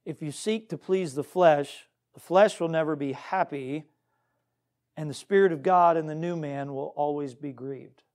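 A male pastor delivers a church sermon in a fairly high, mellow voice. He is earnest and exhorting, moving between scripture and direct questions to the congregation. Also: measured pace. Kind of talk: Baptist sermon with scripture reading.

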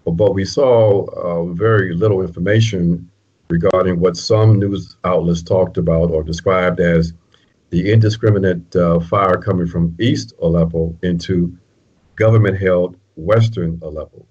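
Deep husky male host, halting. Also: steady tone, darkish tone. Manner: halting, many "uh" pauses. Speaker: interview host. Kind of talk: interview questions. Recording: dull lo-fi audio.

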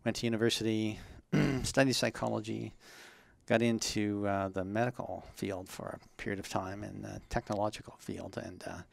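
Interview guest, man in his 60s, from Ontario; measured, halting delivery, dry close sound. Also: on the low side, steady tone, creaky, no room sound. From Ontario, Canada. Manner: measured, hesitant, many ums and uhs. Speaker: guest, ergonomist. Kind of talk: interview answers.

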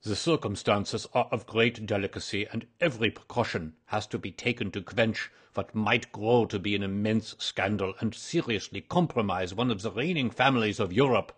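A man's voice: fairly low pitch, moderate pace, few pauses, slightly rough. A man voicing a proud Bohemian king in a storybook, speaking in a formal, pompous and emphatic manner. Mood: grave, formal. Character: proud, agitated, aristocratic Bohemian king in disguise.

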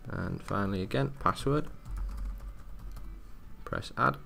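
A man giving an informal, matter-of-fact step-by-step software tutorial as a technical support presenter. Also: lowish voice, slow pace, vocal fry.

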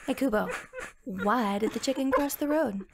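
A woman playing a pampered, lazy teenage rich girl in an audio drama, teasing in a mocking, drawn-out way. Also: fairly high pitch, background noise, close to an everyday voice. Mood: smug teasing.

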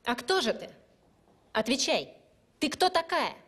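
A woman voicing a spiteful young ballet rival with a breathy, sneering, taunting delivery in a dubbed cartoon. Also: high voice, very animated, unhurried, a bit bright, full character voice. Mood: contemptuous. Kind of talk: dubbed animated film dialogue.

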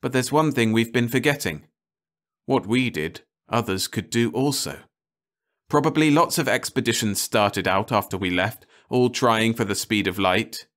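A man voicing a calm, pragmatic male leader on a starship, who speaks firmly and persuasively. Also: mid-range voice, moderate pace, pitched above the performer's own voice, natural voice. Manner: firm, persuasive.

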